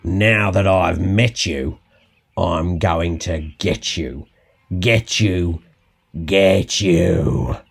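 A man voicing a menacing male bird of prey, deliberate and threatening, in a storybook. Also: low-pitched, gravelly, slightly rough, pitched below the performer's own voice, heavily acted. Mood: menacing, gloating.

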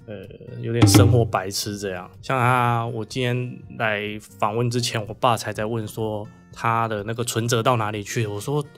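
A man's voice: mid-range voice, level tone, normal speed, hardly any pauses. A man from southern Taiwan with a Taiwanese Mandarin accent, chatting casually as an interview guest and telling personal stories. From southern Taiwan (Kaohsiung). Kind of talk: casual interview chat, storytelling. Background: background music.

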